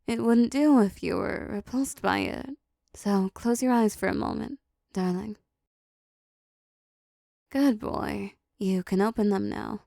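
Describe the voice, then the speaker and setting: A woman voicing a possessive, seductive female demon in an intimate audio roleplay, softly teasing, dominant and doting. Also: medium pitch, slow, vocal fry, long pauses, dry recording, studio quality. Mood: smug, commanding.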